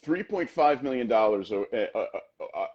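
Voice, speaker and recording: A man co-hosting a wrestling podcast in casual chat, emphatic and talking in quick bursts. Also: mid-range voice, animated, a little mellow, dull audio, slight background noise. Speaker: podcast co-host.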